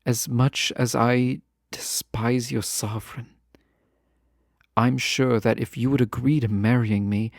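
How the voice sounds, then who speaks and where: A proud fantasy ruler, acted by a man, speaks with yearning and formal care. His mid-pitched voice has a marked gravelly fry and moves slowly through hesitant pauses. The sound is dry and intimate, in the style of a romantic audio roleplay. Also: fairly even intonation, no echo. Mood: earnest, hopeful. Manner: formal, deliberate, earnest speech with hesitant pauses.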